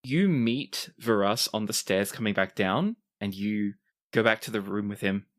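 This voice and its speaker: A man's voice: fairly low pitch, unhurried, fairly bright tone. A man narrating a tabletop roleplaying game as its game master.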